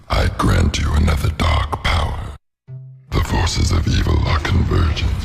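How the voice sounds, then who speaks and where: A man's voice: gravelly, heavily acted. A man voicing an ancient, monstrous oracle spirit in a video game, growling out solemn, portentous pronouncements. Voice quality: growling, rumbling. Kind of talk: video game cutscene acting.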